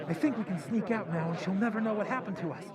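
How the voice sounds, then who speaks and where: An actor playing a wisecracking, impatient young sweetheart in an old-time radio drama, sardonic and excitable. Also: male voice, high-pitched, fast talker, over a noisy crowd, close to an everyday voice. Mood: wry, whispering asides. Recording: live stage, clear audience noise.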